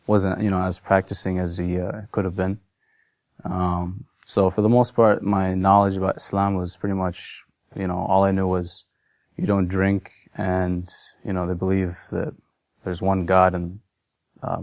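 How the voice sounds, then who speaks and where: A man's voice: sits low, a little mellow, dry recording. A young man from Massachusetts with an American accent, giving an informal personal testimony in a hesitant, reflective, conversational way. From Massachusetts, United States. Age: young adult. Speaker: convert telling personal story.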